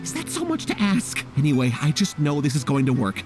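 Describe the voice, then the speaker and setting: Earnest, animated, highish-voiced writer. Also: male voice, fairly quick, over music. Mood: pleading, hopeful. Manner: pleading, self-assured. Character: earnest, stubborn, whining struggling young male writer. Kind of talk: video game voice acting.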